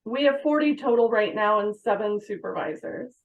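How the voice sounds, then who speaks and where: A woman's voice: medium pitch, expressive, moderate pace, creaky, highs cut off, slight background noise. A woman guest answering interview questions, explaining how things work at her workplace in a conversational way. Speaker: podcast guest, fire dispatch manager.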